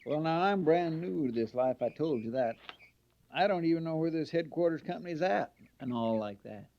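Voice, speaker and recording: Brief: folksy, uncertain frontier recruit, higher voice, rambling, muffled noisy old radio sound. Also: male voice, lively intonation, normal speed, vocal fry. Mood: unsure, plaintive. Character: green, hapless jailbird recruit, folksy and uncertain. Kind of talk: old-time radio western acting.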